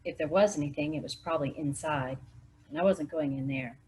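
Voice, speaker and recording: A woman volunteer reading a mystery novel aloud in steady first-person narration. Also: low voice, brisk. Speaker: volunteer reader.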